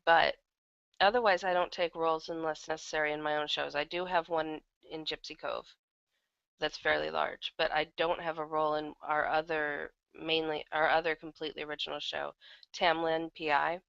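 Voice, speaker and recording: A woman's voice: low voice, level tone, moderate pace, vocal fry, fairly bright tone, smooth, no echo, muffled recording. A woman chatting casually as an interview guest, talking as an audio drama writer and producer.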